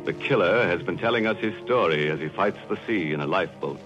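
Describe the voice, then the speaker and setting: A male announcer recapping a radio mystery story in measured, storytelling delivery. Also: deep voice, lively intonation. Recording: muffled old radio broadcast with music.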